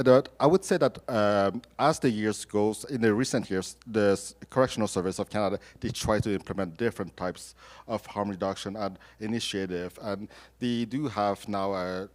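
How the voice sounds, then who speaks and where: A man who grew up speaking French answers a question at a conference panel. His voice sits a little low and mellow. He talks haltingly and often restarts his sentences. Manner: hesitant, many restarts, chuckles at himself. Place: conference room at a panel session.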